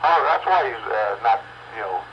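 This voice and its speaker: A man chatting casually in a halting, laid-back way as an amateur radio operator, on a stock radio microphone. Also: medium pitch, lively intonation, dull audio, over a noisy crowd. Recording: noisy ham radio transmission with static.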